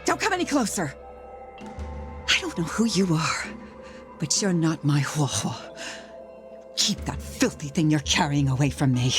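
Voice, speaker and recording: A fearful mother who pushes others away, in a fantasy video game. The voice sits slightly low and moves widely in pitch, halting and dramatic over clear music. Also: very animated. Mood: frightened, repelled. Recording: music under the voice.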